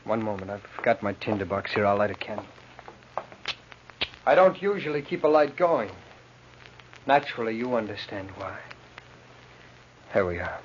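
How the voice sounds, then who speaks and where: A man's voice: fairly low pitch, animated, normal speed, long pauses, close to an everyday voice. A man playing a haunted, hot-tempered young nobleman in an old radio horror drama, theatrical and intense. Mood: weary, resigned. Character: haunted, raging young nobleman obsessed with his lost shadow. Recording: dull, noisy old radio recording.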